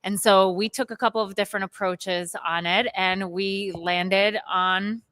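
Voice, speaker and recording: A woman presenting her design concept in a relaxed, conversational way. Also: mid-range voice, unhurried, no echo. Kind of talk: presentation on a live stream.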